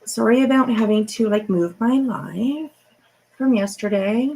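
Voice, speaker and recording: A middle-aged woman talks casually to her livestream viewers. Her voice has a noticeable fry and creak. She rambles along informally, like a late-night host chatting with regulars, and swears mildly. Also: a little high, unhurried, dark tone, rough recording. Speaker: live stream host.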